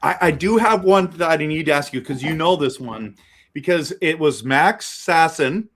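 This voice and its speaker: A man's voice: on the high side, animated, normal speed, fairly bright tone. A man hosting a fan interview, asking questions as an enthusiastic fan who laughs easily. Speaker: host of a fan channel, interviewer.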